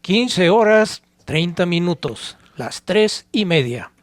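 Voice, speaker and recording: Higher-pitched middle-aged Mexican man reminiscing. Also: expressive, slow pace, dry recording, faint music behind. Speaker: podcast co-host. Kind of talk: reminiscing conversational storytelling.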